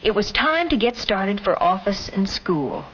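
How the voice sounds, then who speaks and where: A woman's voice: mid-range voice, sing-song, unhurried, a bit bright, a little hiss or hum. A woman reading scripted narration for a 1950s educational film, addressing the listener directly in an admonishing way. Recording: dull vintage film soundtrack with music. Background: film score music.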